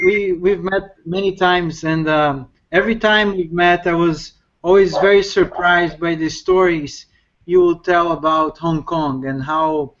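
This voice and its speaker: A man asking interview questions in a halting way, repeating words with many "uh"s. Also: on the high side. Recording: muffled lo-fi recording.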